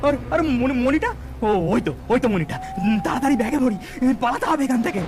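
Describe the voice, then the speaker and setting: A man's voice: very high-pitched, expressive, a little fast. A man playing a sneaky, jumpy snake charmer thief who exclaims and repeats himself in a cartoon. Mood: flustered.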